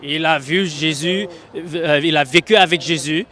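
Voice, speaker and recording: A man's voice: a little high, a little slow, crowd noise. A man who is a native English speaker, debating religion informally in the street, insistent and prone to repeating his points. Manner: insistent, repeats himself, addresses opponent familiarly.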